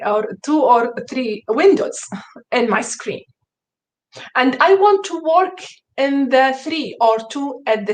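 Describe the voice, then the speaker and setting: A fairly high-voiced woman whose first language is Arabic walks teachers through a screen-share tutorial. She speaks slowly and hesitantly, with many fillers and restarts, and sounds enthusiastic. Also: low-quality recording. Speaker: teacher presenting.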